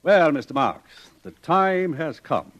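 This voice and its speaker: An actor playing a middle-aged male ruler, urbane, condescending and calmly cynical, orating in a 1950s radio drama. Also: fairly high pitch, lo-fi sound, exaggerated voice. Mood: patronizing, amused. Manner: suave, condescending, deliberate oratory. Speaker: ruling official.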